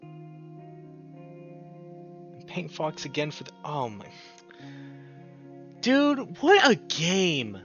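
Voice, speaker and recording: A man's voice: on the high side, wildly swooping pitch, drawn-out words, long pauses, dull audio. A man giving casual, emotional gameplay commentary as a let's play host, effusive and exclamatory, drawing out his words over game music.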